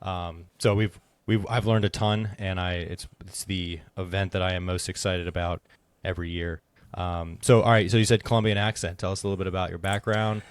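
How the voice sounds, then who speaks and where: Low-pitched man hosting an interview, dry sound. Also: level tone, brisk, few pauses, smooth, dry recording. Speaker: podcast host and insurance agent. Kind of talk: conversational interview.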